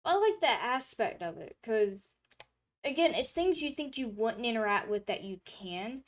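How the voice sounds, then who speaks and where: A woman's voice: a little high, big pitch swings, moderate pace. Chatty young woman rambling on stream. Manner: rambling, chatty, lots of filler words and restarts. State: throat drying, slightly hoarse. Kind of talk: casual stream chat.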